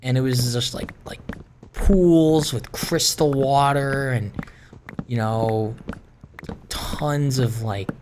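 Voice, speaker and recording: A man hosting a gaming video, rambling casually in a halting, self-conscious way with some swearing. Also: mid-range voice, noisy background. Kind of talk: casual rambling chat while playing.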